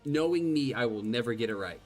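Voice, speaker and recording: A man's voice: mid-range voice, lively intonation, brisk. A man with a slight lisp whose first language is Gaelic, chatting casually while streaming a game, rambling and self-deprecating, swearing now and then. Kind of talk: casual gameplay commentary. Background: faint game music.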